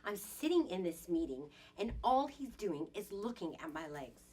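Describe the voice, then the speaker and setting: A woman's voice: medium pitch, big pitch swings, normal speed, a little mellow, husky, soft background music. A woman performing an improvised dramatic monologue as an indignant, sassy businesswoman confiding in a girlfriend, with theatrical emphasis.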